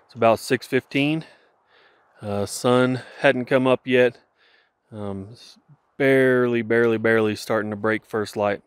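A man's voice: mid-range voice, a little slow, clean tone, no room sound. A man in his thirties hiking outdoors, filming himself at arm's length and chatting casually with fillers and restarts. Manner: relaxed rambling with fillers and restarts. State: walking downhill. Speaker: hiker vlogger. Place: outdoors on a mountain trail. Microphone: camera held at arm's length while walking.

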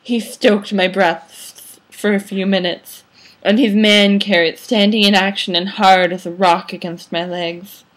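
A woman's voice: medium pitch, fairly even intonation, measured pace, poor audio. A young woman reading fan fiction aloud in a theatrical, mock-dramatic way, recorded on a webcam microphone in a small room. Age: young adult. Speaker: amateur reader. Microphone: webcam or laptop microphone at arm's length.

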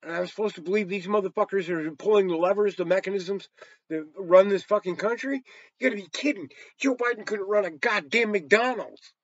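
An American man in his sixties talks in a somewhat high voice, rambling bluntly and emphatically with plenty of swearing. The sound is dry and a bit dull, like a webcam in a small room. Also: a little fast, a bit bright, no room sound. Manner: blunt, heavily profane, emphatic. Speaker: storyteller and vlogger. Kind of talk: rambling opinionated monologue to camera.

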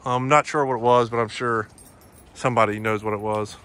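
A man narrating a hiking vlog in a casual, matter-of-fact, halting way as he walks. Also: medium pitch, fairly even intonation, fairly bright tone. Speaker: hiking vlogger. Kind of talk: casual walk-along narration. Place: outdoors in woods by a river. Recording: outdoor phone video with ambient noise.

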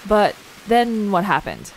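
Woman as an animated, anxious young adventurer. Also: mid-range voice, takes it slowly, a little hiss or hum, poor audio. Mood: uneasy. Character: anxious, impatient, practical young female adventurer. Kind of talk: naturalistic audio drama acting. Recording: music under the voice.

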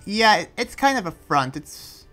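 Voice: medium pitch, very animated, a little slow, a bit bright, faint music behind. A performer improvising a polite, awkward young villager in a Minecraft roleplay, talking in a hesitant, rambling way. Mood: weary, casual.

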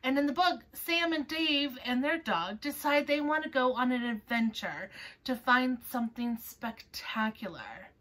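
A woman in her thirties hosting a children's storytime, reading and introducing a picture book in a measured way with pauses. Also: high voice, expressive, a bit bright. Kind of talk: scripted introduction and picture-book read-aloud. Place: small furnished room at home, indoors. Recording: voice a little far from mic.

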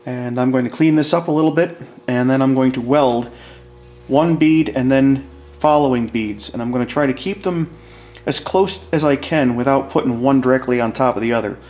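A man's voice: medium pitch, normal speed, darkish tone. A man explaining a hands-on demonstration in a casual, informal way, as an instructor talking to viewers.

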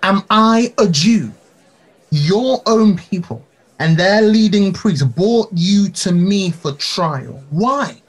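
An actor playing a stern, troubled Roman governor in an audio play, speaking formally and deliberately in a declamatory way. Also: male voice, sits high, animated, heavily acted. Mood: probing. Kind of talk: dramatic audio play acting.

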